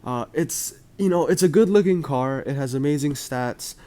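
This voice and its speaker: A man's voice: on the high side, expressive, normal speed, warm tone. A young man hosting casual gaming commentary to his viewers. He is chatty and upbeat, uses frequent "uh" and "um", and his voice is slightly off from a cold. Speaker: mobile game video host.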